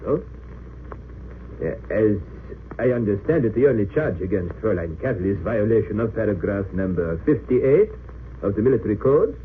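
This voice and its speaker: An actor in an old-time radio drama playing an earnest, deferential Romanian defense lawyer who argues formally and pleads with feeling. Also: male voice, fairly low pitch, expressive, moderate pace, close to an everyday voice. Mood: hesitant, deferential. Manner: hesitant yet impassioned, formal oratory. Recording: tinny narrow-band old radio recording, hissy.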